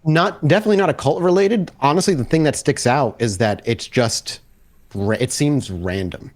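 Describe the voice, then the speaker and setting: A man working as game master, improvising narration casually and haltingly as he thinks aloud. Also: medium pitch.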